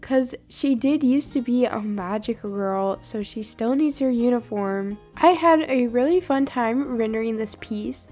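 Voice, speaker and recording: Chatty young woman, hoarse voice. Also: a little high, unhurried, few pauses, mellow, soft background music. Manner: chatty and upbeat, with filler "like"s. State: hoarse from a sinus infection. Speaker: art channel host. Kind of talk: voiceover over drawing footage.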